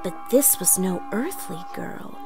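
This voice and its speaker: A woman's voice: mid-range voice, lively intonation, unhurried, over music, pitched below the performer's own voice, natural voice. A woman playing an earnest, theatrical narrator of a storybook read-along. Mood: dramatic, earnest. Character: earnest theatrical storyteller, comic author-narrator persona played female.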